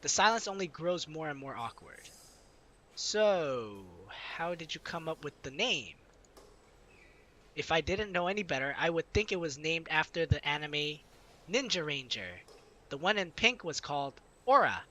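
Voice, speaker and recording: A man's voice: a little high, big pitch swings, a little slow, sharp tone. A man hosting a let's play, reading a visual novel aloud with casual asides and a giggly manner. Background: game music.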